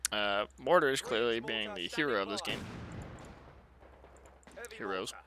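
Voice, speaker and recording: A man casually commentating a strategy game replay, excitable and laughing often, talking to his chat, his voice a bit hoarse. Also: lowish voice, measured pace, a crowd in the background. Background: video game gunfire and artillery.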